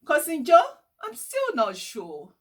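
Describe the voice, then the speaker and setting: A woman's voice: high-pitched, huge pitch swings, takes it slowly, pitched above the performer's own voice, heavily acted. A woman voicing a curious, unsure and eager little boy in a storybook, with a questioning, wondering manner. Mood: hesitant, questioning.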